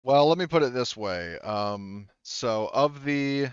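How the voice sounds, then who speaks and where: A man acting as game master, improvising explanations in a halting way and often circling back to rephrase himself. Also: mid-range voice, animated, clear, resonant tone, dry recording, lo-fi sound. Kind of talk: improvised narration for a tabletop roleplaying game.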